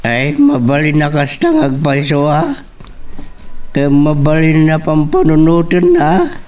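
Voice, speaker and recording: A man's voice: fairly high pitch, big pitch swings, slow pace, darkish tone. A man playing a concerned father giving advice in a radio drama, recorded a little far off. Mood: concerned, coaxing.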